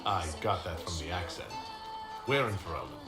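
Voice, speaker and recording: A curious leader with dry wit. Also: male voice, low voice, expressive, normal speed. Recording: roomy game audio with music.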